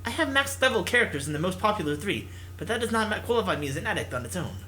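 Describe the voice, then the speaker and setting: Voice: medium pitch, big pitch swings, very fast, bright, forceful tone. A let's-play reader voicing a stiff, earnest young female pro gamer who speaks in formal, literal phrases.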